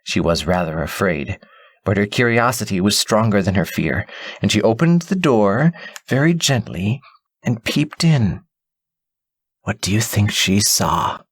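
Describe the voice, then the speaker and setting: A man reading a storybook as an audiobook narrator, in a deliberate, dramatic way. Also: lowish voice, lively intonation, breathy edge, no room sound.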